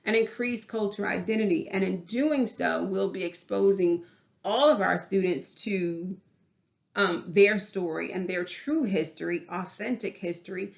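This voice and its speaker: A woman's voice: mid-range voice, a little slow, creaky, highs cut off. Earnest Southern woman teacher presenting. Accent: Southern US English. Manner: earnest, repeats and restarts phrases. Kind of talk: class presentation.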